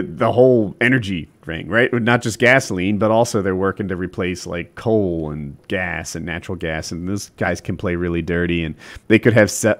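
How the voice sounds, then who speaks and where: Man, low voice, loose rambling talk on a call, lots of "like" and cursing. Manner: rambling, filler-heavy, swearing. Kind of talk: casual chat. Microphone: video call.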